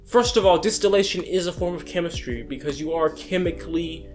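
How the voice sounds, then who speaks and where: A young man hosting a reaction video, talking in a brash, excitable way and swearing freely as he explains what he is watching. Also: a little high, a little fast, soft background music. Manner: excitable and brash, swears freely, jumps between loud and soft. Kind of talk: casual reaction commentary.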